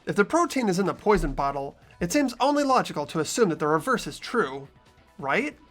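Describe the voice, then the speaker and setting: Lively game narrator, animated pitch. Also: a little fast, faint music behind. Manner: lively, swears and laughs during banter. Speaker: host and player narrating the game. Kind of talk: narrating a game and joking with friends.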